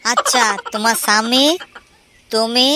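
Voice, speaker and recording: Man as bumbling high-voiced cartoon village surveyor. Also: steady tone, measured pace, noisy background. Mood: nosy, scheming. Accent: rural West Bengal. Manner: hesitant, meddling, muttering crude asides. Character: bumbling, nosy male village census surveyor. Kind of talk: comic cartoon voice acting.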